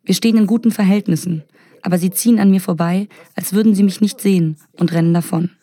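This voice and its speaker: A woman speaking as the voice-over translation of an interviewee in a documentary, in a quiet, steady, matter-of-fact way. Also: fairly low pitch, fast talker, close to an everyday voice.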